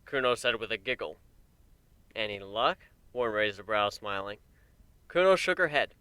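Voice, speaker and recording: A man's voice: lowish voice, lively intonation, a little fast, sharp tone, clear, resonant tone, no room sound. A man narrating a fan fiction story as a scripted dramatic reading.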